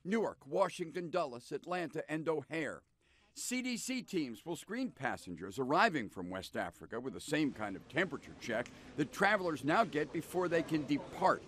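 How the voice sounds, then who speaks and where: Male news correspondent, American accent, lively intonation, fairly high voice, scripted report. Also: moderate pace.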